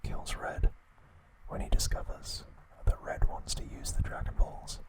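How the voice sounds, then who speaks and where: Whispering man, slow ASMR narration. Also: low-quality recording. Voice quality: breathy unvoiced whisper. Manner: slow, hushed, deliberate phrasing with pauses. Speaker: ASMR host.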